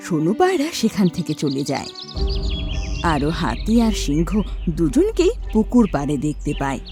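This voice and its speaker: A woman narrating a children's cartoon tale. Her voice sits a little low but leaps around with very lively pitch. Clear music plays behind her. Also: normal speed. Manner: expressive, storytelling. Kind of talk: scripted storybook narration.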